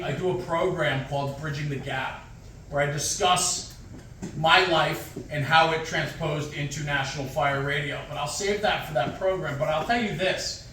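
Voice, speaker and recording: A man's voice: a little high, fairly bright tone, a little hiss or hum. Emphatic man speaking in an echoey hall. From United States. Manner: emphatic, repetitive, punchy short phrases. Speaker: guest speaker at a fire department event. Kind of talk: motivational speech. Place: large echoey hall with an audience. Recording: very echoey hall, distant mic.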